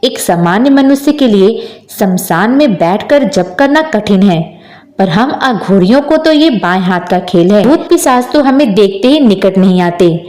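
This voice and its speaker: A woman's voice: medium pitch, lively intonation, a little slow, darkish tone, reverberant, lightly acted. A woman voicing a sly, grave palm-reading holy man who pronounces and reassures in a storybook tale. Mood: reassuring, boastful.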